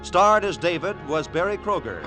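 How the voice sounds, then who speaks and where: A man's voice: sits high, expressive, normal speed. A man with an American accent reading scripted credits and promos as a 1940s radio announcer. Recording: old radio broadcast, music behind.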